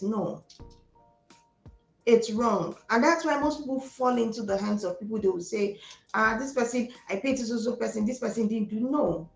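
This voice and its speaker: A woman with a Nigerian Igbo accent speaks with a slightly high, gravelly voice in an echoey room. She gives motivational advice in an emphatic way, repeating words for stress and slipping into Pidgin. Faint music plays behind her. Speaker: psychologist and guest speaker. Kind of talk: motivational conversation.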